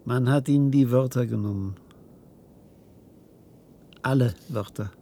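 An actor playing a weary, guilt-ridden old inventor in a science-fiction radio drama, halting and theatrical. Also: male voice, mid-range voice, a little hiss or hum, close to an everyday voice. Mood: haunted. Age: older. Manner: halting, theatrical delivery with hushed passages.

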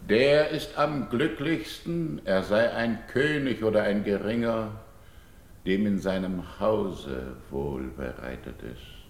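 A proud older king in a classical radio play, speaks very low with a gravelly creak. His is solemn and slow, declaimed as verse in a roomy space. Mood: grave, sorrowful. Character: grieving, proud older king, childless widower-like ruler seeking a bride.

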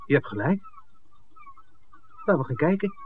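An actor playing an eager, easygoing filmmaker with an eye for women in a 1960s Dutch radio drama. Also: male voice, a little high, very animated, moderate pace, a little hiss or hum. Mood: puzzled. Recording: muffled, narrow old radio recording.

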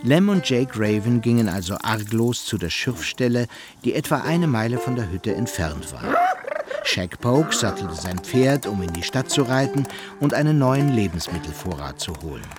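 Male narrator for radio drama: mid-pitched, animated, literary storytelling with music underneath. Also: moderate pace. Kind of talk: scripted radio play narration.

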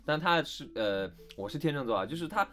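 Lively, reflective man chatting over faint music. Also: on the high side, a little fast. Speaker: voice actor, interview guest. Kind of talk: casual panel interview chat.

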